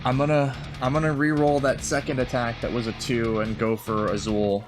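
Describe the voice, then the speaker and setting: A man's voice: mid-range voice, flat delivery, moderate pace. Casual male tabletop player over guitar music. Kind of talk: casual game chat.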